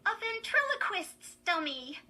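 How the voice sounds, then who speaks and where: A young girl, played by a woman reading a story, high and bright, exclaiming with gleeful scorn. She is an excited, teasing sister with a sharp edge. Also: slow pace, heavily acted. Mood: scornful.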